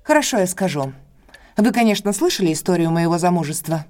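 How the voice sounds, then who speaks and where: A woman's voice: on the low side, animated, fairly quick, a little mellow, natural voice. An actress playing a guarded, anxious young woman in an audio drama, speaking with careful restraint. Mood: bitter, confessing.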